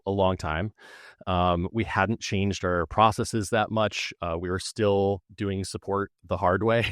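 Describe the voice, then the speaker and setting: A man's voice: low-pitched, clear, resonant tone, no echo, clean studio sound. A man being interviewed as a guest, explaining how his support team works in a conversational way. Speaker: technical support manager, guest.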